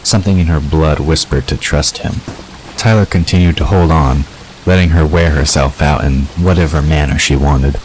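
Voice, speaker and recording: Male narrator for fantasy audio drama, extremely low voice, scripted prose reading, faint watery ambience behind. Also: fairly even intonation, moderate pace, a little hiss or hum, rough recording.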